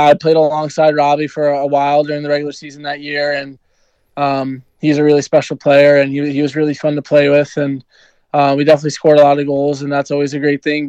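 A man's voice: a little high, darkish tone, smooth, no room sound, lo-fi sound. A young man from New Jersey, a hockey player answering interview questions in an easygoing way, with many ums and you-knows. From New Jersey, USA.

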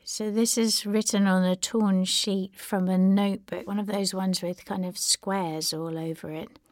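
Slow-paced woman reading letters expressively. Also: mid-range voice, steady tone, darkish tone, no echo, clean studio sound. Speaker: reader of family letters.

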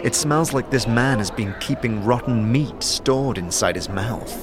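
A man's voice: mid-range voice, measured pace. Male fantasy narrator over music. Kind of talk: scripted second-person fantasy story narration.

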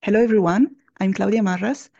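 Husky Italian woman, gravelly and dark. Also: medium pitch, a little fast. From Italy. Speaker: platform founder. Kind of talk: self-introduction. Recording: dull, lo-fi audio.

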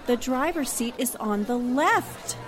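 An actress playing a polite, self-possessed and inquisitive newspaper reporter in a 1940s radio drama style. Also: female voice, fairly high pitch, lively intonation, slow. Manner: polite, crisply questioning. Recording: music and crowd murmur behind the voice.

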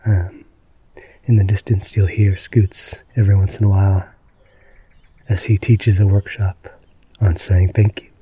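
A man hosting a sleep podcast in a gentle, low-key, meandering way, with a husky, creaky voice. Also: little pitch movement, a little slow, long pauses, dry recording, muffled recording. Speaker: podcast host. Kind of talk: slow, soothing bedtime narration.

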